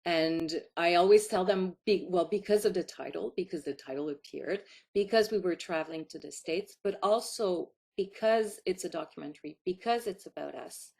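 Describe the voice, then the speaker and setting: A woman's voice: fairly low pitch, moderate pace, gravelly, hardly any pauses, darkish tone. A woman in her fifties from Quebec, a native French speaker with a Quebec French accent, chatting in anecdotes as a filmmaker being interviewed. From Quebec, Canada. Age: 50s. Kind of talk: casual interview.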